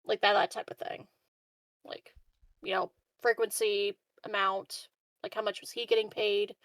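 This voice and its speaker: A woman's voice: medium pitch, little pitch movement, unhurried, creaky, fairly bright tone, no echo. A woman chatting casually as a player in a tabletop roleplaying game, offering suggestions tentatively.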